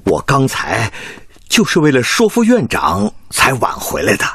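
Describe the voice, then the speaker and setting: An actor playing a devoted older doctor, earnest and persuasive, in a Chinese radio drama. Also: male voice, medium pitch, wildly swooping pitch, unhurried, sharp tone, breathy edge, no room sound, studio quality, heavily acted. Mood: pleading. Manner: earnest, rhetorical delivery. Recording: music bed behind the voice.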